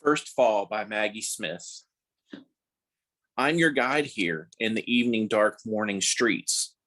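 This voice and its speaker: A man with a fairly low voice reads free verse aloud. He goes slowly and plainly, giving each short phrase its own measured space. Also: a bit bright. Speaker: poetry reader at a congregation service. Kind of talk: reciting a free-verse poem.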